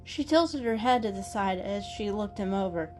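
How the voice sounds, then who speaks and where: A woman's voice: mid-range voice, lively intonation, moderate pace, over music. A woman narrating a children's story as a scripted dramatic reading.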